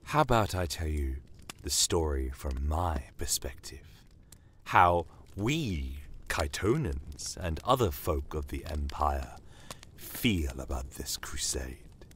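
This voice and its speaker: Non-human commander for fantasy audio drama, male actor: very slow, measured, courteous menace, shrewd and bitter. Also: a bass voice, big pitch swings, slight background noise, full character voice. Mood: courteous, persuasive. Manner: measured, theatrical, pointed rhetorical questions. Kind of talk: dramatic first-person audio story narration. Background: battle aftermath ambience.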